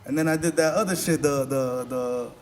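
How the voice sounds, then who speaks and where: A man's voice: on the high side, moderate pace, a little hiss or hum. Slangy young man telling studio stories. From United States. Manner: loose, slangy storytelling with many restarts. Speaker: rapper guest. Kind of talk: casual podcast chat.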